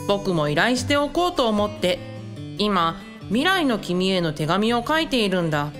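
A woman playing an earnest, gentle young male student reading out his letter in measured radio drama monologue. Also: medium pitch, very animated. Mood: earnest, tender. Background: guitar music.

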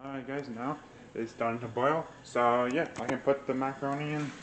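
A man's voice: medium pitch, fairly even intonation, moderate pace, very noisy. A young man vlogging while he cooks in a small home kitchen, mumbling asides and humming along, with the camera propped on the counter. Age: young adult. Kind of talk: casual cooking vlog. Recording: music playing behind. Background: music playing.

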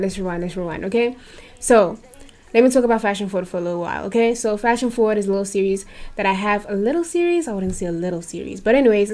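A woman's voice: medium pitch, darkish tone. A young woman from South Africa running her own channel in casual chat, bubbly and checking in with viewers, her voice a little worn, speaking into a desk mic in a small bedroom. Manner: bubbly, talks to viewers with frequent "okay?". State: losing her voice. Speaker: YouTuber. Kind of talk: casual chatty vlog. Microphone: desk microphone.

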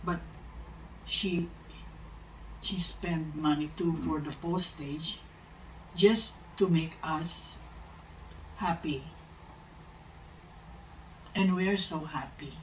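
A woman's voice: low voice, steady tone, drawn-out words, long pauses, recorded from a distance, a little hiss or hum, rough recording. A middle-aged Filipino woman with a Filipino accent, chatting and thanking viewers in a casual home vlog, seated on a living-room sofa. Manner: chatty, coaching, mixes in Tagalog. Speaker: vlog co-host. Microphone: far camera.

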